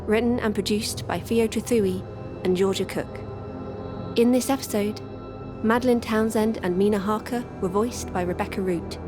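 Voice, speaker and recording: A woman announcer reading scripted end credits for an audio drama in a measured way. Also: medium pitch, fairly even intonation. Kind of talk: scripted podcast end credits over music. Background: music.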